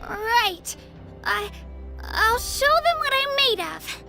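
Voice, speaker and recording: A woman's voice: very high voice, lively intonation, takes it slowly, pushed and bright, heavily acted. A woman voicing a small, earnest young girl warrior in a video game, with a childlike voice. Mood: nervous, determined. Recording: game music behind the voice. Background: game music.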